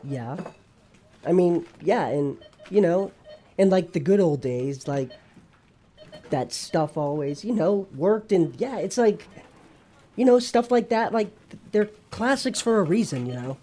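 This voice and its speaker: A man playing Famine as a sulky, inarticulate young dude in a comedic audio drama, halting and rambling in slang. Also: high voice, lively intonation, mellow. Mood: confused, defensive.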